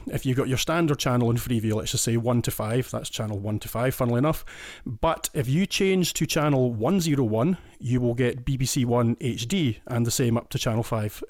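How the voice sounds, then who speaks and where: A man's voice: medium pitch, a little mellow, no echo. A Scottish man talks in an easy, detailed, chatty way as a podcast guest. His voice sits in a middling register. The sound is dry and close, with no room around it. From Scotland. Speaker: guest listener. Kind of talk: casual explaining.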